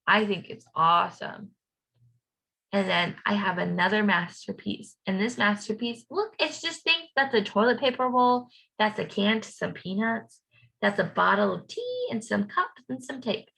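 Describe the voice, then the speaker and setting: A woman talks to young children as their teacher, walking them through a craft activity. Her delivery is animated and simple, with a bright edge to the voice and noticeable creak. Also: medium pitch, a little slow, rough recording. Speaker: teacher reading aloud and leading a children's activity. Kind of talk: children's storybook read-aloud and craft instruction.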